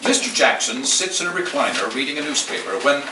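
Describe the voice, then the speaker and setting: A man's voice: mid-range voice, sing-song, fairly quick. A man narrating the stage directions of a screenplay at a live staged table read. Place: live staged reading at a party. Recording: echoey room, distant mic, clearly noisy.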